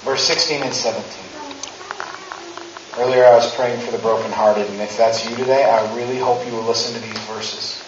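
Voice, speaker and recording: A man's voice: medium pitch, fairly even intonation, normal speed, noisy background. Chatty man preaching in a roomy church. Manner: chatty and humorous, acting out quotes with rising emphasis. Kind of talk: conversational sermon. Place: church sanctuary with stage. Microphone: handheld mic, far camera. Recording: roomy, distant, dull church recording.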